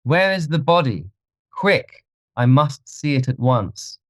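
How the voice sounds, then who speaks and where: A man voicing an anxious, imperious young gentleman with an intense manner, for an audiobook. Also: lowish voice, animated, moderate pace, no echo, studio quality, pitched above the performer's own voice, lightly acted. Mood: agitated. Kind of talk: audiobook character voice.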